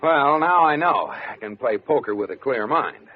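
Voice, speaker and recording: An actor playing a stubborn, exasperated American husband, sputtering in a vintage radio sitcom. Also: male voice, medium pitch, animated, moderate pace, over a noisy crowd, lightly acted. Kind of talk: 1940s-50s radio sitcom acting. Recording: muffled, noisy radio recording, live audience.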